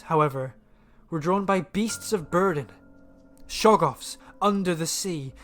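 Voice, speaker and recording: An actor playing a frightened yet fascinated expedition man in a 1930s-style radio horror drama, speaking in a dramatic, halting way. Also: fairly high pitch, a bit bright.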